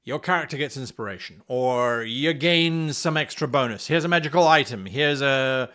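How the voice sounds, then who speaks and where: A man's voice: medium pitch, normal speed, fairly bright tone. A man hosting a tabletop role-playing lesson, giving game master advice in a theatrical, exaggerated manner. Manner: theatrical, exaggerated, acts out mock dialogue.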